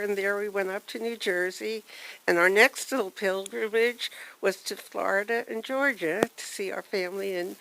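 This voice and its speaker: An older woman with a soft voice giving a halting, heartfelt tribute at a memorial gathering. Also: medium pitch, vocal fry, poor audio. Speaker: memorial speaker.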